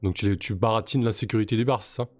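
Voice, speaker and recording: A man's voice: on the low side, fairly even intonation, fast talker, no room sound. A man running a tabletop role-playing game, narrating casually with many hesitations. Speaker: game master.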